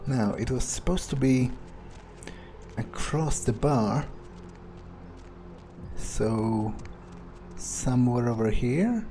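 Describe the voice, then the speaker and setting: Mellow, musing man over faint music. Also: medium pitch, lively intonation, takes it slowly, pauses often. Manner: musing, hesitant, thinking aloud. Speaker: game player role-playing his character. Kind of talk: in-character let's play commentary.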